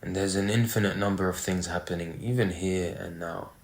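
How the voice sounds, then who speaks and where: A man leading a guided meditation, speaking in short phrases with pauses between them. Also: deep voice, steady tone, darkish tone. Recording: roomy, echoey sound.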